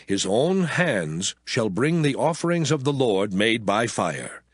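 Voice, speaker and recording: A man playing God as a solemn, commanding lawgiver in a dramatized scripture reading, speaking in measured, declamatory phrases. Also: mid-range voice, sing-song, slightly rough, no room sound, studio quality, full character voice.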